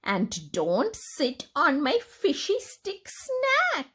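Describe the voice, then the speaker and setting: A woman voicing a kind, excitable young male cat from a children's storybook, earnest and reassuring. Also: high-pitched, very slow, voice pushed up, exaggerated voice.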